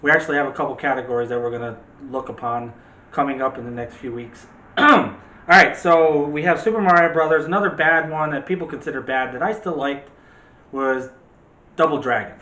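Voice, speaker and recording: A man's voice: medium pitch, fairly quick, sharp tone. A man hosting a movie discussion show in a casual, chatty and opinionated way. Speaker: host of a movie review show. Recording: echoey room.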